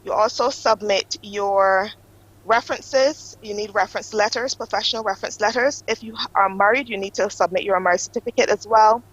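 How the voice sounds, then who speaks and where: A woman's voice: medium pitch, a little fast. A woman with a mid-range voice explains an official application process on a morning TV show. She speaks steadily and informatively, and the broadcast audio is a little muffled. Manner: steady, informative, self-correcting. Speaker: guest from an accreditation office. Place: TV studio.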